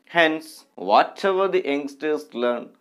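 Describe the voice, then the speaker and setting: A man in his thirties with an Indian accent, teaching an English lesson and reading aloud with deliberate, careful articulation. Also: fairly high pitch, lively intonation, a bit bright. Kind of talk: online lesson with text read aloud.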